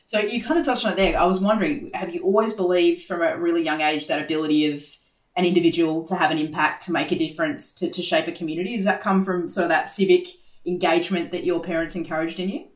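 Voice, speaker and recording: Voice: mid-range voice, fairly even intonation, fast, roomy sound. An interviewer who asks long, encouraging questions and often answers with short words of agreement. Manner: encouraging, with frequent short backchannels. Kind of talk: conversational interview.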